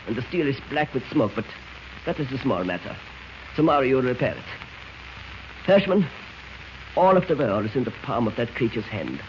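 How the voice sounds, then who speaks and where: An actor playing a gloating, ruthless villain in a theatrical 1940s radio drama style, on an old scratchy recording. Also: male voice, medium pitch, muffled recording, natural voice. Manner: theatrical, exultant. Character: gloating, ruthless male villain, spy ring leader.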